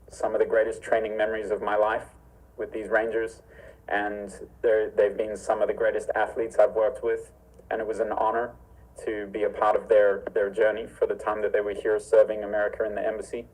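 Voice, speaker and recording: A man's voice: lowish voice, monotone, brisk, a little mellow, rough recording. A man from Zimbabwe, a martial arts instructor, speaking earnestly and formally on a remote video call from his home. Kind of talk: remote interview conversation. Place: home with a library.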